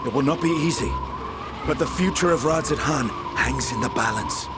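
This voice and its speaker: An actor playing a bitter, battle-hardened male warrior, speaking in a measured, grave way. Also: on the high side, very noisy, natural voice. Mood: earnest. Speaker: dragoon warrior character. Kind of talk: video game cutscene acting. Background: loud orchestral music.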